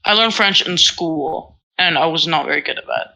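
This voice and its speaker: Teen girl from Singapore, podcast co-host; very bright, rambling and self-mocking. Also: low voice, moderate pace, poor audio. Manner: rambling, self-mocking storytelling with many fillers. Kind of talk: casual chat.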